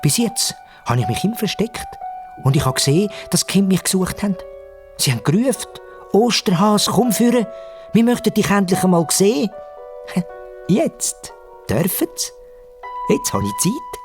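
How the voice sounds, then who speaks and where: A man voicing an excitable, impatient Easter bunny in a children's radio play, with a Swiss German accent and eager exclamations. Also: sits high, normal speed, long pauses, soft background music, voice pushed up. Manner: eager, exclaiming, wondering aloud. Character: excitable, impatient, easily startled young-hearted male Easter bunny.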